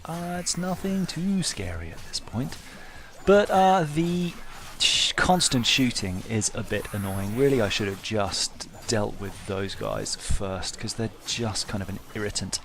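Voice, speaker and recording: A man's voice: on the low side, very animated, a little slow, a bit bright, a little hiss or hum. A man giving casual gameplay commentary as a video host, wry, understated and self-deprecating.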